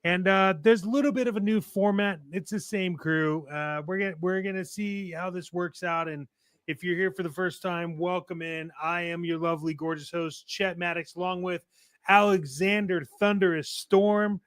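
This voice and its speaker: A man's voice: high voice, fairly even intonation, moderate pace, a bit bright, no room sound. A man hosting a podcast in a casual, chatty style with a playful showman's manner. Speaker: podcast host. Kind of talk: casual podcast chat with sponsor reads.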